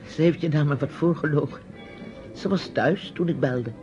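A man's voice: on the high side, moderate pace, a little mellow, close to an everyday voice. An actor playing a reproachful, patronising uncle questioning his niece in a radio play. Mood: brisk, pointed. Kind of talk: radio drama acting. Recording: dull old radio play recording, music.

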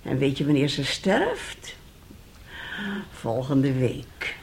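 Needling, scheming middle-aged wife. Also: female voice, extremely low pitch, huge pitch swings, takes it slowly, darkish tone, a little hiss or hum, exaggerated voice. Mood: calculating. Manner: pointed, needling. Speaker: actor. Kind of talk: radio drama acting.

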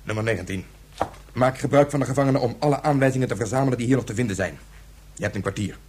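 A man's voice: medium pitch, very fast, darkish tone, breathy edge, natural voice. A man playing a curt, domineering colonel in a science fiction radio drama, barking clipped orders. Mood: stern, demanding. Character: curt, domineering military colonel in space invasion.

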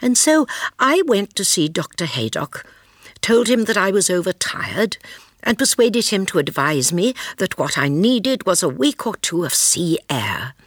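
An older woman playing a gentle, shrewd elderly lady sleuth in a radio drama, speaking in a measured, earnest, genteel way. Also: on the low side, big pitch swings, no echo, clean studio sound. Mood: reflective. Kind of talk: radio drama acting and first-person narration.

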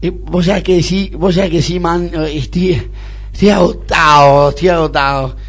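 A man's voice: a little high, fairly even intonation, normal speed, faint music behind. A man playing a spoiled, snobbish young Argentine businessman in a radio comedy-drama, whining, stammering and repeating himself. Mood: exhausted, grateful. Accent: Argentine (Rioplatense).

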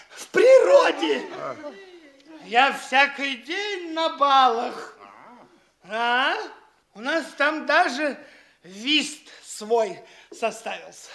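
A man's voice: very high voice, a bit bright, exaggerated voice. An actor playing a boastful, foppish young man in a theatrical stage comedy, gushing and stammering over his own boasts.

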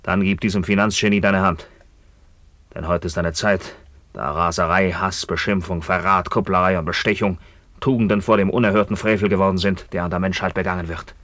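Radio play: grim returned captive, low voice, declamatory and vengeful, close dry recording. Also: male voice, fairly even intonation, brisk, fairly bright tone, dry recording. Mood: cold, commanding. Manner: declamatory, grim, swelling from low murmurs to fierce cries. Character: bitter maimed Roman returnee from captivity, commanding and vengeful. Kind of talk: theatrical German radio drama acting.

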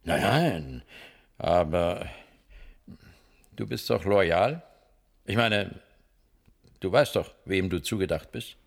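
A man's voice: low voice, lively intonation, slow pace, creaky, long pauses, full character voice. An actor playing a brusque, authoritative middle-aged spaceship commander and father in a German science-fiction radio drama. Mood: probing, concerned.

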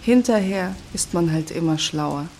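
A woman's voice: lowish voice, a little slow, darkish tone, a little hiss or hum. A woman playing an embittered former member of a self-sufficient rural commune in a reflective radio drama monologue. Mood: indignant, resigned.

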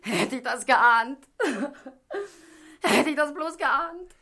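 Slow, tearful, high-voiced widow. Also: female voice, animated, bright, forceful tone, heavily acted. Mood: sobbing, despairing. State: crying. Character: distraught, tearful bourgeois widow. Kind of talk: 1960s German radio play acting.

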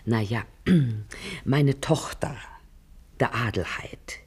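Husky, sly working-class mother. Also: extremely low pitch, takes it slowly, a little mellow, full character voice. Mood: sly, hesitant. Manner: folksy, emphatic, cunning. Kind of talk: classic German radio play acting.

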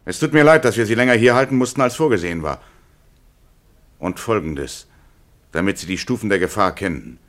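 An actor playing a polite, businesslike captor in a 1950s German radio drama, speaking insistently and precisely. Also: male voice, fairly low pitch, expressive, brisk, long pauses, lightly acted. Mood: urgent, courteous. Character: coolly courteous male captor, urgent and businesslike.

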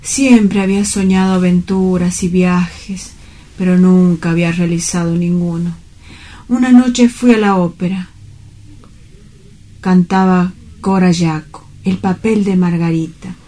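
Woman acting young male dreamer, wistful bank clerk, slow pace, mellow voice, earnest radio-drama delivery. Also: fairly low pitch, steady tone, close to an everyday voice. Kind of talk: dramatic radio-play monologue.

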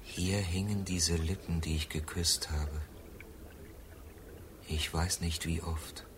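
An actor in a radio drama playing a faded stage star who mourns past glory in brooding, declamatory speech. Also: male voice, deep voice, fairly even intonation, takes it slowly. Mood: mournful. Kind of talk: theatrical radio drama monologue. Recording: roomy echo with faint noise.

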